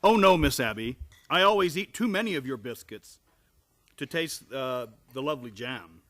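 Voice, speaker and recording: Actor as fussy clergyman, sharp bright tone, lively pitch, formal, courteous, radio play. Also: normal speed, close to an everyday voice. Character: prim, disapproving, courteous minister at tea. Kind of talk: stage-style radio play acting.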